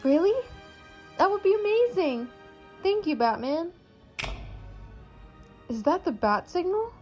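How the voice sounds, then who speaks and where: A woman's voice: extremely high pitch, sing-song, slow, darkish tone, faint music behind, heavily acted. A woman acting an eager, friendly young woman in an amateur radio play. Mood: excited.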